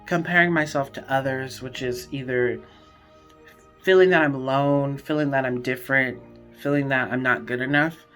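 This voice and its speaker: 18 yr old student, mid pitch, slow and hesitant, light music behind. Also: fairly even intonation, fairly bright tone. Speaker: university student. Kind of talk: personal testimonial.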